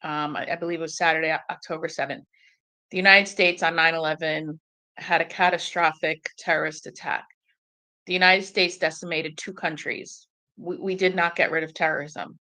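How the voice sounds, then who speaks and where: A woman's voice: sits low, steady tone, moderate pace, pushed and bright. A woman from Egypt speaking as a panelist, impassioned and searching for words. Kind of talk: panel discussion.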